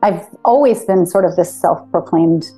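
A woman hosting a casual interview, talking animatedly with quick asides and frequent affirmations. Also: fairly low pitch, mellow, soft background music, poor audio. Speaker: podcast host.

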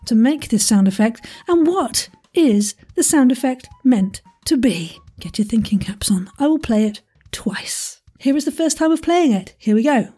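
A woman hosting a podcast quiz in a playful, chatty way, with self-deprecating asides and a husky voice. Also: a little high, lively intonation, moderate pace, warm tone, soft background music.

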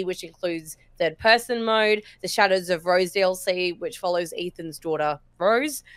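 A woman's voice: on the low side, a bit bright, smooth, no echo. A woman co-hosting a weekly gaming show, running through game releases in an easygoing chat. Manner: easygoing, laughs often, fillers. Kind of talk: casual chat and news rundown.

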